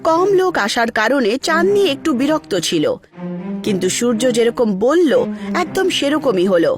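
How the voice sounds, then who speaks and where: A woman's voice: sits high, big pitch swings, normal speed. A woman narrating a scripted cartoon story as its storyteller. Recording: music behind the voice.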